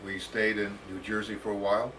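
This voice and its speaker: American male academic, about 60. Bright, forceful voice, deliberate storytelling, recorded at some distance with faint noise. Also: on the low side, flat delivery. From United States, Detroit. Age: around 60. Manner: deliberate, halting, formal phrasing. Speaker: professor, interview guest. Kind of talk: autobiographical storytelling.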